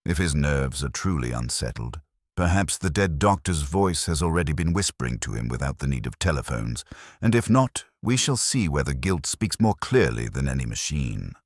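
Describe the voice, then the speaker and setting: Man reading as a very deep, measured police inspector. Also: big pitch swings, no room sound, studio quality, exaggerated voice. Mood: measured, reflective. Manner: measured, aphoristic, quietly grave. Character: calm, shrewd, philosophical male police inspector. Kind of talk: detective audiobook character reading.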